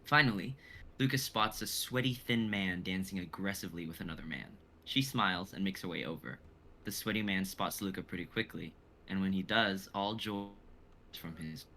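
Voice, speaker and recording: A young man narrates a script live in a dramatic style. His voice is low yet bright and forceful, with faint noise behind it. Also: moderate pace. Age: young adult. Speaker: narrator reading stage directions.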